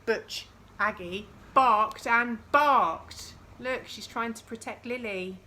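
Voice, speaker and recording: A woman reading a picture book aloud to young children in an expressive storytelling style, with chatty questions to the listeners. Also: fairly high pitch, slow pace. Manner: addresses young listeners with questions and dramatised sound words.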